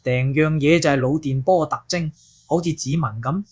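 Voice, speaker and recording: A man's voice: a little high, normal speed, darkish tone, husky. A man acting a zealous scientist expounding theories in an audio drama. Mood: assertive.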